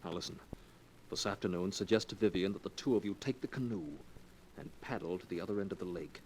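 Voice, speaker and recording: An actor plays a coaxing young schemer in a 1940s radio drama. His voice sits low and smooth, and he leans close as he persuades. A light hiss lies under the old broadcast. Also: quick pace, natural voice. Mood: scheming. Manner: coaxing, intimate.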